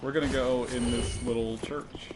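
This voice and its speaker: A man's voice: medium pitch, fairly even intonation, moderate pace. A man playing a video game and commentating casually, with crude, profane, joking banter. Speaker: gamer playing and co-hosting a let's play. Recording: loud noise behind the voice.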